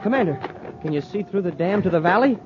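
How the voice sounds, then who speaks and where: An actor playing an eager, excitable young space cadet in a 1950s radio adventure serial. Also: male voice, high-pitched, fast talker. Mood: excited. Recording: muffled, noisy old-time radio recording.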